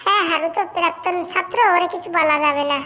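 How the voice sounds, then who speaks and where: Tiny cheeky cartoon pupil, whiny excuse-maker, very high, sharp voice, rural Bengali dialect. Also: fast. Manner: argumentative, cheeky. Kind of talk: comic cartoon dubbing.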